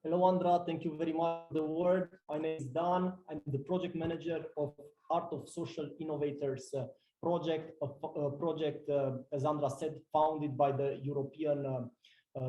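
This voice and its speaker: A man, a project manager, presenting his project with halting speech and many fillers. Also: a little high, dark tone, echoey room, low-quality recording. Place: seminar room.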